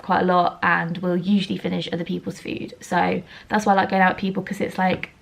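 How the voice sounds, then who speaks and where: A young woman of about twenty, chatting to camera at home in a rambling, self-deprecating way, her voice still slightly croaky. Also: on the low side, level tone, fairly quick. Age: around 20. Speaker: vlogger. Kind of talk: casual chat to camera.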